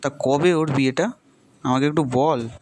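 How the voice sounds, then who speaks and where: A man's voice: fairly high pitch, moderate pace, a little hiss or hum, lightly acted. A man playing a young office worker in colloquial, questioning dialogue with an old friend in a dramatized story. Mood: curious. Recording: loud guitar music behind voice.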